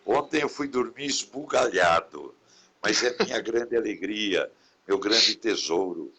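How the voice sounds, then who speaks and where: A man, a priest talking in a relaxed live conversation. His voice sits low and has a husky edge, yet it comes across bright and forceful. He speaks reflectively, drifting into gentle sermon-like remarks. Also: unhurried. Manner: warm, reflective, preachy asides about caring for others. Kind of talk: casual conversation in a live stream.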